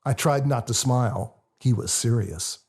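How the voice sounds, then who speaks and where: A man reading his own book as scripted audiobook narration, in a husky, gravelly voice. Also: medium pitch, a little slow, darkish tone.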